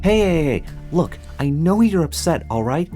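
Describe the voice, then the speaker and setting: A woman playing a firm, protective peacemaking friend in an audio drama, forceful but placating. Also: low voice, very animated, moderate pace, faint music behind.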